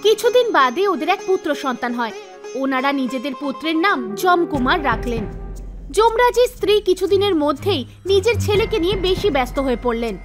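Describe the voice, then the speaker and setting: A woman narrator reading a scripted animated story for children. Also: very high-pitched.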